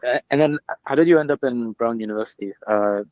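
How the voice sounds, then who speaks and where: A man's voice: medium pitch, fast, no room sound, dull audio. Young male host from Brussels, easygoing, filler-heavy delivery, dry earbud-mic recording. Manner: casual, filler-heavy, friendly questions. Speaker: podcast host. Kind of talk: casual interview hosting. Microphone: earbud microphone.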